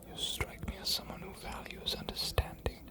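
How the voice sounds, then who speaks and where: A woman voicing a scholarly ancient vampire archivist in an ASMR fantasy roleplay, cryptic and inviting, hushed and deliberate with weighty pauses. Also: lightly acted. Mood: hushed, earnest.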